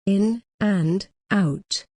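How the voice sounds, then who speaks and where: A woman narrating a guided meditation in a measured, instructional manner, pausing gently between phrases. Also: mid-range voice, dry recording, studio quality.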